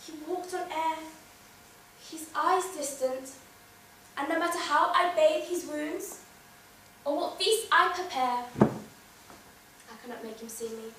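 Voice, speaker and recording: A wounded, defiant wife of old legend speaks from a distance in a very echoey room, played by a young woman. Her voice is high and deliberate, each short phrase weighted with theatrical bitterness. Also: a bit bright. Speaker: drama student performing an exam monologue. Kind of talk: theatrical stage monologue. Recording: very echoey room, distant mic, faint noise.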